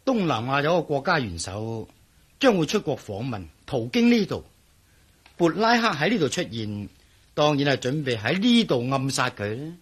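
An actor playing a worried, blustery police officer in a radio drama, speaking Hong Kong Cantonese with emphatic, sighing delivery. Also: male voice, medium pitch, big pitch swings, normal speed, husky. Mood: serious, worried.

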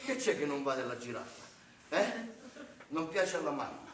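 A frazzled, short-tempered Santa Claus, as a man acts him out on stage, his voice high and sugary before it snaps into shouting. The sound is distant and roomy, caught from a live stage with a noisy background. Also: sing-song, moderate pace. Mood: exasperated. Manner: sugary coaxing that tips into shouting. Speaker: stage actor in a comic monologue. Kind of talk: live theatre comedy monologue. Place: theatre stage.

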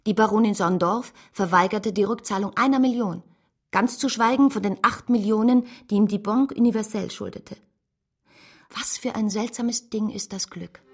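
A grieving, principled woman in a German radio play. Her voice is husky and breathy, sitting at a middle pitch. She tells her story with measured, restrained feeling. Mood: bitter reflection. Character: Caroline, a grieving, principled woman narrating bitterly, devoted to her brother. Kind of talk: German radio drama acting and narration.

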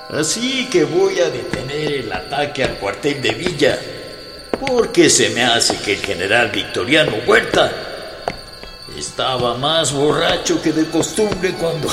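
An actor playing a level-headed, skeptical army officer with a Mexican accent in a historical radio drama. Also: male voice, mid-range voice. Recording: roomy echo, music behind.

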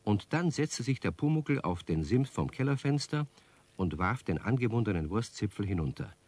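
An actor narrating a children's radio play as a storyteller, with a deep, husky voice. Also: male voice, big pitch swings, normal speed, darkish tone, no echo. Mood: storytelling. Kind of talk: scripted narration.

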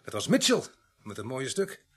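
An actor playing a sardonic, bossy police sergeant in a radio play, brisk and commanding. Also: male voice, medium pitch, wildly swooping pitch, a bit bright, slightly rough, exaggerated voice. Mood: amused, musing. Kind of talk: radio drama acting.

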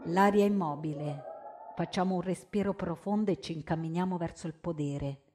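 Low-voiced woman in solemn theatrical narration. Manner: deliberate, solemn, with pauses. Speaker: stage actress narrating a partisan story. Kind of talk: dramatic first-person theatrical narration. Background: acoustic guitar music.

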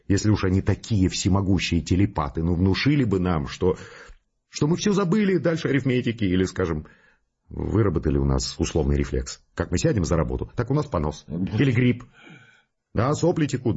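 An actor playing a rattled, skeptical scientist in a Russian audio drama, grumbling in wry, colloquial, reluctant speech. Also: male voice, deep voice, sing-song, a little fast, darkish tone, husky, muffled recording, exaggerated voice. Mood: nervous reasoning.

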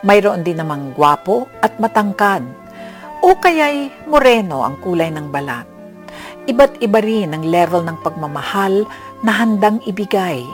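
A woman narrates a radio drama from a script. Her mid-pitched voice moves expressively up and down in a dramatic storytelling style, with music clearly playing behind her. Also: sing-song, a little slow.

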